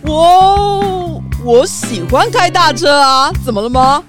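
A defensive, sheepish male customer with a Taiwanese accent , voiced by a woman for a children's radio drama. The voice is high and childlike, with slow, hesitant phrasing over clear background music. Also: lively intonation. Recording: music under the voice.

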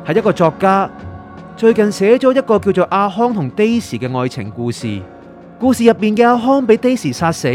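A man's voice: sits high, darkish tone. An actor with a Hong Kong Cantonese accent playing an anxious, curious male writer who narrates his story introspectively in a radio drama. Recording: music under the voice.

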